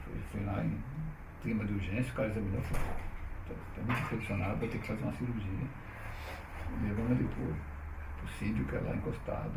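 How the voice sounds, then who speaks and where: A man's voice: fairly low pitch, steady tone, quick pace, gravelly, long pauses. Man telling stories in a roomy space. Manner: chatty, frequent "né?" tags, restarts. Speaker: interviewee, publisher. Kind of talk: relaxed conversational storytelling. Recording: roomy, distant mic, faint noise.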